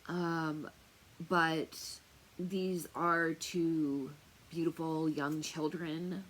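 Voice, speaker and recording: A woman talking casually to camera as a tarot reader, chatty and rambling with lots of fillers, with a loud, projecting voice. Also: deep voice, fairly even intonation, extremely slow, low-quality recording. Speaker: tarot reader and remote viewer. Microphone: noise-canceling earphones on.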